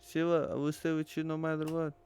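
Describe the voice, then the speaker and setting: A man's voice: on the high side, monotone, brisk, a little mellow, a little hiss or hum, pitched above the performer's own voice, lightly acted. Man playing an emphatic, upbeat companion. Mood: devoted.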